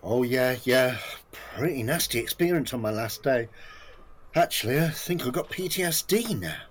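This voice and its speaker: An actor in a comic audio play voicing a hapless, self-important ex-community police officer who rambles, overshares and boasts chummily at a job interview. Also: male voice, medium pitch, animated, normal speed, a little hiss or hum, lightly acted. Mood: self-pitying.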